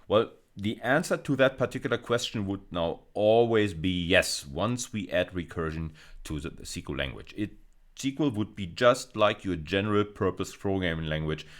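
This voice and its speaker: A man lecturing in an explanatory style, pausing and repeating words as he goes, with emphatic stresses. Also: sits low. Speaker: university lecturer.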